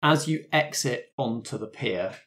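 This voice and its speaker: A man's voice: mid-range voice, expressive, measured pace, a bit bright. A man runs a tabletop roleplaying game in a mid-range voice. He explains rules and sets scenes off the cuff. His delivery is conversational and encouraging. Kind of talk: improvised tabletop game narration and casual chat.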